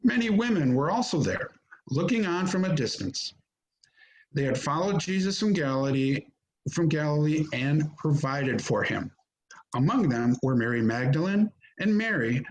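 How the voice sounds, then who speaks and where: A man narrating a scripture reading in a measured, solemn manner. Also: fairly high pitch, rough recording. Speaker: narrator in a church Passion reading.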